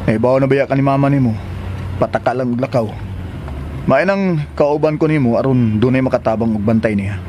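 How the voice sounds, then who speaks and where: A man's voice: medium pitch, a little fast, a little mellow, close to an everyday voice. A man playing a devoted, hardworking fiancé who longs for children, in a Filipino radio drama with a Filipino accent. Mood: coaxing. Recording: noisy urban ambience behind.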